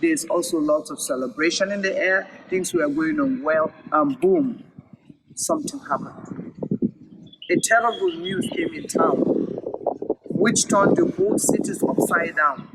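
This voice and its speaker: A man with a high-pitched voice tells a story aloud. His delivery is very lively in pitch, with deliberate, emphatic phrasing. The recording is clearly noisy, with clicks. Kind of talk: oral storytelling read-aloud. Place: virtual event recording.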